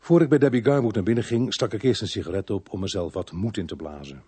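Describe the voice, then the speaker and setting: A sober, dogged police inspector in a Dutch radio play, with a Netherlands accent. The voice is low and slightly husky, recorded dry and close, and measured and understated in both dialogue and narration. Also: male voice, dark tone, dry recording. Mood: reflective, grave. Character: sober, dogged male police inspector telling his own story. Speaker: actor, lead role and narrator of a radio play. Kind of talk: Dutch radio drama acting and first-person narration.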